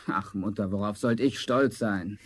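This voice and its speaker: A man's voice: fairly low pitch, unhurried, slightly rough. A man playing a discontented, envious young charcoal burner in a theatrical 1970s fairy-tale audio drama. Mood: envious, sulky.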